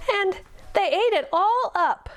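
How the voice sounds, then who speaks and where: A woman voicing a little girl baby polar bear in a storybook reading, with a high, squeaky voice. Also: lively intonation, slow pace, a bit bright, exaggerated voice.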